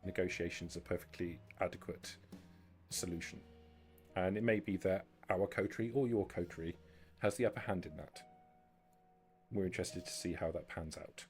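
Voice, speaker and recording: A low-pitched, formal vampire envoy, a woman of careful diplomacy played by a male game master. The speech is measured, polite and guarded, with music underneath. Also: a little mellow, close to an everyday voice. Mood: formal, diplomatic.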